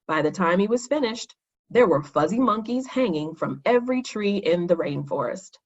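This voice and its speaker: A woman reads a scripted children's story aloud with an expressive delivery. Her voice sits at an average pitch, and the recording is a little dull and lo-fi. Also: moderate pace. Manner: expressive read-aloud with acted dialogue. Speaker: storybook reader.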